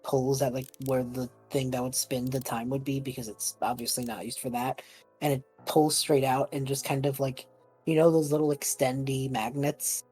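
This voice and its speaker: A woman's voice: very deep voice, fairly even intonation, normal speed, dark tone, breathy edge, faint music behind. A woman playing a tabletop roleplaying game, narrating her character's actions in a casual, rambling, informal way. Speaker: player in a tabletop roleplaying podcast.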